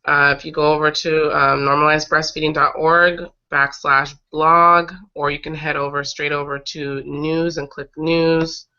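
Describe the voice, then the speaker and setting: A woman hosting a casual live webcast, slightly raspy from getting over a cold, talking haltingly and often pausing to regroup mid-sentence. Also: sits low, flat delivery, pushed and bright. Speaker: host of an online breastfeeding advocacy show. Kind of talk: casual live webcast chat, recounting stories. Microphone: online video call. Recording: dull lo-fi webcast audio.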